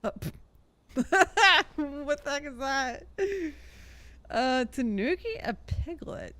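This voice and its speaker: A young woman livestreams a game in a high, very expressive voice. She rambles along informally and giggly at an easy pace, chatting to viewers. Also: slow pace, dry recording. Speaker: live streamer playing a video game. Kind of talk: casual livestream chat and game reactions.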